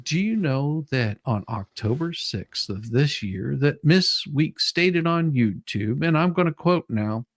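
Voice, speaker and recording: A man's voice: fairly high pitch, lively intonation, a little slow, few pauses, dry recording, studio quality. A man hosting, switching between casual playful chat and dramatic reading of legal questions. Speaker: host.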